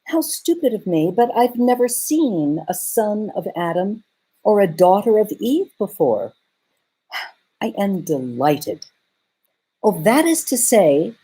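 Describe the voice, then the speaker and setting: Lady doing a fussy polite faun guy, higher voice, bouncy, a bit husky. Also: slow, full character voice, poor audio. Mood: eager courteous. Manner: courteous, excitable, old-fashioned speech. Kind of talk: storybook read-aloud.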